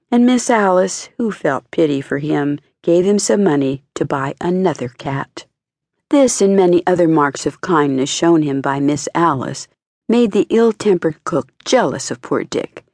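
A woman reading a children's fairy tale as an expressive audiobook narrator. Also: low voice, no room sound, clean studio sound. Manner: expressive storytelling.

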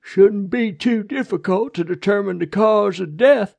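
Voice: medium pitch, unhurried, darkish tone, voice pushed up. Narrator as a testy old small-town coroner. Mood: matter-of-fact. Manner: gruff, dry, irritated. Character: thin stooped older coroner, testy small-town doctor.

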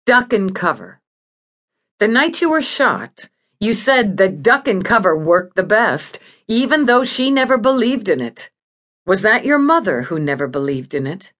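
A woman voicing a calm, probing female investigator in audiobook dialogue, polite and keeping her temper. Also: medium pitch, measured pace, voice pushed up. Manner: polite, even, persistent.

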